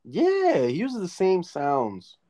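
A man in a relaxed, profane casual chat who often trails off mid-thought while making his point. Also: sits high, slow, heavy background noise.

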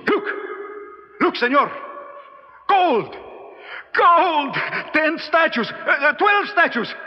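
An excitable, high-voiced Spanish-accented guide. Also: male voice, slow, highs cut off, full character voice. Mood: ecstatic greed. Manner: exclamatory, deferential, repeating himself. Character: excitable, greedy, superstitious Latin American local guide. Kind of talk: old-time radio drama acting. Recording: band-limited old radio recording, faint noise.

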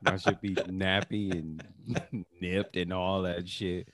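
A man co-hosting a podcast, joking loudly and crudely in casual banter. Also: deep voice, little pitch movement, slow pace, no echo. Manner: loud, laughing, crude joking. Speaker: podcast co-host.

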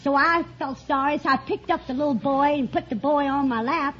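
High-voiced, flustered chatty woman. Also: fairly quick, a bit bright. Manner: flustered, insistent, colloquial storyteller. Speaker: quiz show contestant. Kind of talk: chatty anecdote telling. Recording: dull, noisy vintage radio with audience. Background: studio audience and noise.

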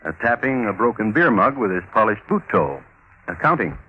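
A man hosting and narrating in 1950s radio crime storytelling style, wry and measured with theatrical pauses. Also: lowish voice, sing-song. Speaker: host and narrator. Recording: old radio recording with faint noise.

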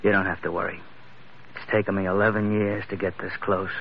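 An actor playing a sullen, burly American stowaway bent on revenge, talking tough and slangy in 1940s radio style. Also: male voice, low-pitched, flat delivery, brisk, vocal fry. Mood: defiant, bitter. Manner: surly, tough, slangy, grudging. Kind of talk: 1940s radio adventure acting. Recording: muffled old radio recording, faint noise.

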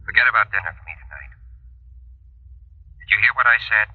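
An actor playing a curt, evasive husband, brusque and dismissive, heard through a telephone filter in an old radio drama. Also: male voice, lowish voice, expressive, brisk, phone-quality sound. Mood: curt, irritated.

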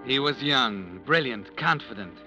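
An actor playing an ominous, knowing mystery narrator in 1940s radio style, insinuating and dramatic. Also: male voice, medium pitch, expressive, measured pace, lightly acted. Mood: wry storytelling. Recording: muffled old radio recording. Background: music.

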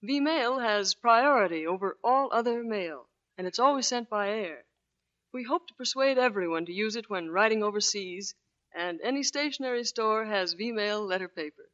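A woman with a mid-pitched voice speaks as a military guest on 1940s radio, in a scripted interview style. The old recording is dull and lo-fi. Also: animated, normal speed, a bit bright. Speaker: Navy officer, radio guest.

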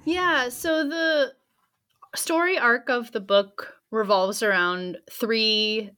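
A woman in her thirties, an anthropologist speaking as a guest in an interview, thoughtful and warm, with frequent soft laughs and fillers. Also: a little high, takes it slowly, clear, resonant tone. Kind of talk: interview answers.

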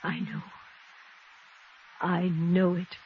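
An actress playing a genteel older society widow, halting and emotional, in a 1950s radio drama. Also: female voice, lowish voice, level tone. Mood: flustered. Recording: muffled, noisy vintage radio recording.

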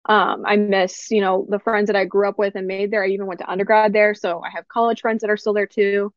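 A woman's voice: medium pitch, brisk, no echo. Laid-back woman from Miami, dull dry sound. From Miami, United States. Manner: relaxed, many fillers, laid-back. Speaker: attorney and guest. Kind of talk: casual interview chat.

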